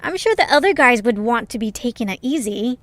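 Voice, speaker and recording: A woman's voice: on the high side, lively intonation, brisk, natural voice. A woman playing a bossy, scornful female creature in an amateur audio drama, sarcastic and brusque. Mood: brisk, bossy.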